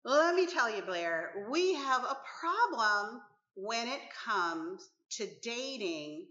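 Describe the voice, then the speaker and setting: A woman in her fifties from the Caribbean, hosting and interviewing on a talk show in an emphatic, expressive way. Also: a little high, slow, reverberant, highs cut off. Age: 50s.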